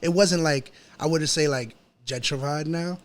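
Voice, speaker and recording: A man with a New York accent chatting casually as a podcast guest, telling personal stories in a slangy, halting, repetitive way. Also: fairly high pitch. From New York City. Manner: slangy, halting, repeats himself.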